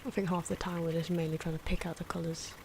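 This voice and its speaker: A soft-spoken woman giving casual ASMR commentary on drawing, often slipping into whispers, in an unhurried, rambling and playful way. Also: on the low side, little pitch movement. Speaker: host of an ASMR drawing channel. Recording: clear background noise from drawing sounds. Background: pen and marker scratching.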